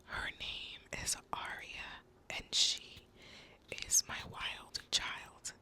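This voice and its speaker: Slow whispering young woman, ASMR. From United States (Boston). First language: Spanish. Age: young adult. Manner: slow, soft, intimate whisper. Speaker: ASMR creator. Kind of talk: whispered ASMR personal storytelling.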